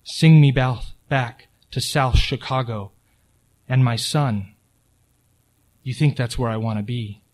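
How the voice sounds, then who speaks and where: A cold woman guard talks down to her prisoner in slow, deliberate, menacing words, as a man reads her aloud in his own plain voice. The tone never lifts into warmth. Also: mid-range voice, natural voice. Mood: goading. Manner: slow, deliberate, menacing second-person address.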